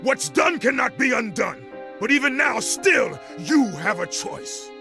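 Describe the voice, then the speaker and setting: An actor playing a stern, grave sword master in a video game, solemn and commanding. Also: male voice, very high voice, sing-song, unhurried, music in the background, exaggerated voice. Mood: solemn, pleading. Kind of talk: dramatic video game acting.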